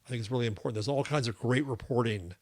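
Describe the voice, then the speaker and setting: A man's voice: mid-range voice, rapid-fire. An older man co-hosting a podcast in casual chat, wry and digressive, telling stories. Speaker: podcast co-host.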